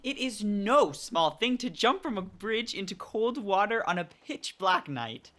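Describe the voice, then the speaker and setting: A woman's voice: mid-range voice, big pitch swings, a little slow, fairly bright tone. A woman acting a wry, unimpressed rescuer in a radio play, with a dry delivery.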